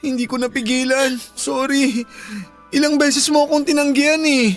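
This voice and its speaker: An apologetic young Filipino boyfriend. His voice sits very high for a man, with a placating, pleading delivery in radio drama style over faint music. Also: level tone, moderate pace. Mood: guilty, pleading.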